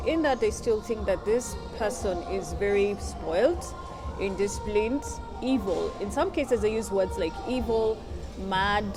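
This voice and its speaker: A woman from Nairobi, answering interview questions in an articulate, measured and earnest way. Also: mid-range voice. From Kenya, Nairobi.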